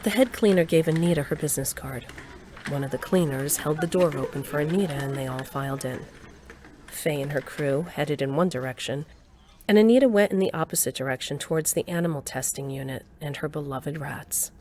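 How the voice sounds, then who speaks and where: Woman acting as very low-voiced dramatic storyteller. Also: a little fast, darkish tone, a little hiss or hum, close to an everyday voice. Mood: storytelling. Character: woman scientist Grace, wife and mother, nostalgic ex-rocker. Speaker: narrator. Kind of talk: scripted first-person audio drama narration.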